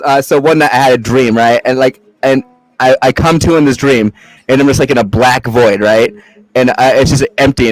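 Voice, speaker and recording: A man's voice: mid-range voice, no room sound, low-quality recording. A young adult man from Massachusetts, a podcast guest chatting casually in a loose, rambling way with frequent swearing and fillers. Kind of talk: casual chat.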